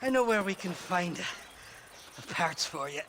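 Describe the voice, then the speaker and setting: A man's voice: sits high, expressive, normal speed, fairly bright tone, slight background noise. A man playing a drunken, wheedling Irish scoundrel in a Western video game, with an Irish accent. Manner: blustering, wheedling.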